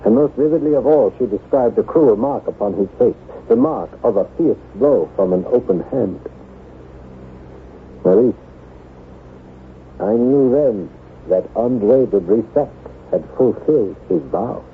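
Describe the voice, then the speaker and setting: An actor playing an anguished, jealous husband in old-time radio drama, speaking in a halting, melodramatic way. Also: male voice, medium pitch, lightly acted. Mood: haunted, despairing. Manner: melodramatic, halting, repeats words for emphasis. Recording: tinny, noisy vintage radio recording.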